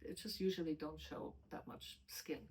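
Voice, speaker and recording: A woman's voice: sits low, fairly even intonation, normal speed, darkish tone. Chatty American woman. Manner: chatty storytelling with self-quotes and restarts. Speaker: guest. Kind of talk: casual interview.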